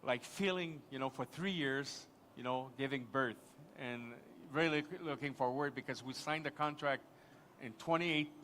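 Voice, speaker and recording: A man's voice: mid-range voice, moderate pace, bright, forceful tone, slight background noise. A man from Quebec with a French-Canadian accent, a native French speaker, speaking as a panelist at a live conference and rambling enthusiastically with many restarts.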